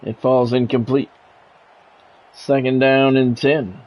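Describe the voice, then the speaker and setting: A man's voice: mid-range voice, little pitch movement, takes it slowly, darkish tone. An American man calling live football video game play-by-play in clipped announcer phrases, with casual asides. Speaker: play-by-play streamer.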